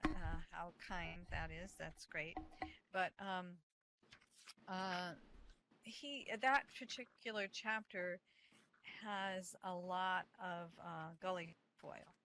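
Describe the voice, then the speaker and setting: A woman's voice: fairly low pitch, slow pace, sharp tone, a little hiss or hum. A woman chatting casually as she runs a reading group, with lots of fillers and laughs. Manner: hesitant, many fillers, laughs often. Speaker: organizer of an online reading group. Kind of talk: casual meeting chat.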